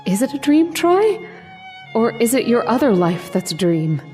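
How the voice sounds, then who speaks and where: An actress playing an adoring, possessive young dream sweetheart in an old-time radio drama, speaking in an intimate, coaxing, eerily gentle way. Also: female voice, fairly high pitch, big pitch swings, moderate pace, faint music behind. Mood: pleading. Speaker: actress in a horror radio play.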